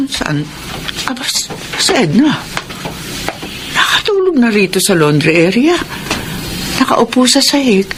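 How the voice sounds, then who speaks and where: Concerned Filipino household boss: gentle-hearted, unhurried, very varied intonation, radio drama. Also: female voice, fairly high pitch, sing-song, noisy background. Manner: caring, unhurried. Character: kindly, concerned female employer of a housemaid.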